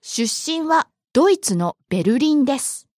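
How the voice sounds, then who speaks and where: Lively woman teacher. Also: high voice, fast talker, no echo, clean studio sound. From Japan. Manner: lively, gives example phrases and chuckles along. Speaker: Japanese teacher. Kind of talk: bilingual podcast language lesson.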